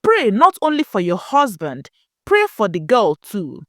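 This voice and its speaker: A woman's voice: mid-range voice, huge pitch swings, measured pace, no echo, clean studio sound. A woman storyteller voicing a solemn female spirit who gives divine commands in a folktale. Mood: instructing.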